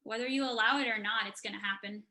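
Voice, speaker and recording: A woman's voice: fairly high pitch, steady tone, quick pace, bright, forceful tone. A woman playing an exasperated, stubborn adult Indian American daughter in an emotional, argumentative staged reading. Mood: defiant, pleading. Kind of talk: staged reading over video call.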